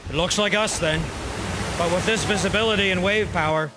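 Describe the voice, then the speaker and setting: A man's voice: high-pitched, a little fast, natural voice. A man playing a sober, commanding freighter captain in an audio drama, speaking tersely. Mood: resolute. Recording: wind and waves noise behind.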